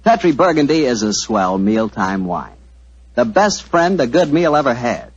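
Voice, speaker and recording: A man's voice: medium pitch, big pitch swings, normal speed, breathy edge, dry recording. A man with an American accent doing a chummy, enthusiastic 1940s radio advertisement as the show's announcer. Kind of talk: 1940s radio advertisement, folksy sales patter. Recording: dull lo-fi old radio recording.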